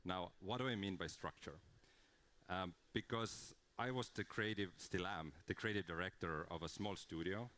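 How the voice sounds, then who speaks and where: Brief: man, conference speaker, very low voice, earnest and explanatory. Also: normal speed, a bit bright. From Estonia. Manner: earnest, explanatory, with frequent fillers and restarts. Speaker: conference speaker, game creative director. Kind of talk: conference talk.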